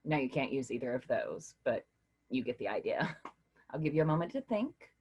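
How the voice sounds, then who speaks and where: A woman's voice: rumbling low, normal speed, lo-fi sound, slight background noise. An actress playing a patient woman philosophy professor leading a class discussion in a staged play reading. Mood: teacherly. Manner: lively, questioning, teacherly.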